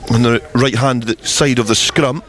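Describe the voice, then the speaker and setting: A man's voice: mid-range voice, fairly even intonation, unhurried. A man giving flowing, descriptive live radio commentary on a rugby match. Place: outdoors at a rugby ground. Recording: outdoor match with crowd noise. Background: crowd at the match.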